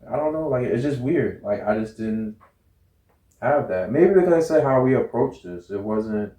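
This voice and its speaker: A man's voice: mid-range voice, lively intonation, moderate pace, mellow. An American man talking casually and candidly as an interview guest, rambling and often checking in with his listener. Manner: rambling, repeats "you know what I'm saying". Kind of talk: casual, candid conversation about a relationship. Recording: roomy, echoey sound.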